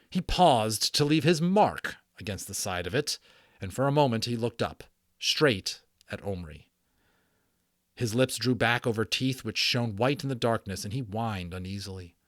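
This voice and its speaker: Low-voiced man reading a story dramatically. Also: no echo, clean studio sound. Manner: expressive, emphatic, breaks off to explain to listeners. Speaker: audiobook narrator and channel host. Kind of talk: dramatic storytelling read-aloud with casual asides.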